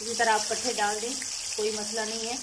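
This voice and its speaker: A woman's voice: a little high, flat delivery, a little fast, very noisy. A middle-aged woman hosting a cooking channel, explaining each step of a home recipe patiently. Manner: patient, instructive, homely. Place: home kitchen.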